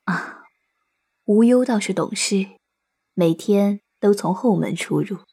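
A woman acting a gentle, sorrowful Buddhist nun master, speaking in a measured, wistful way in a Chinese costume audio drama. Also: medium pitch, breathy edge. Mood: kindly.

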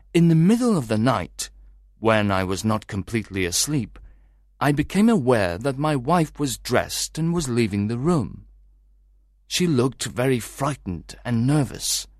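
A man's voice: medium pitch, dry recording, clean studio sound. A man playing a worried, suspicious husband who recounts his troubling story to a detective in a dramatised audiobook.

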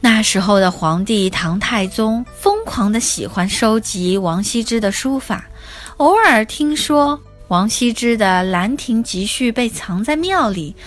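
Slow, expressive woman storyteller over music. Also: mid-range voice. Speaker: children's storyteller, show host. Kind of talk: scripted storytelling narration for children. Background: soft music.

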